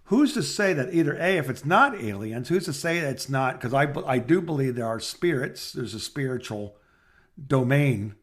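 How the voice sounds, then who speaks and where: A man chatting casually as a podcast co-host, rambling and halting as he searches for his words. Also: mid-range voice, animated. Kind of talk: casual chat.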